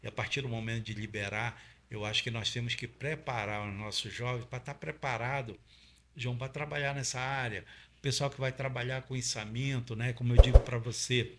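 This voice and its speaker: Gravelly Paraense man explaining oil safety. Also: mid-range voice, normal speed, background noise. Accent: Paraense Brazilian Portuguese. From Pará, Brazil. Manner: earnest, explains step by step, many fillers and "né?". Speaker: guest, oil industry safety trainer. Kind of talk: explanatory interview talk.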